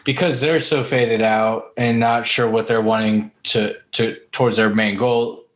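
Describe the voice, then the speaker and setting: A man's voice: medium pitch, fairly even intonation, measured pace. A man chatting casually on a podcast, informal and prone to swearing. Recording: echoey, roomy sound.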